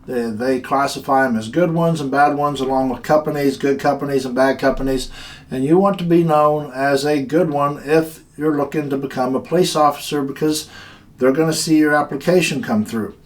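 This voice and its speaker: A man's voice: mid-range voice, normal speed. A man who runs a security company, telling a story from work and giving guards earnest, plain-spoken warnings. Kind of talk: casual instructional talk.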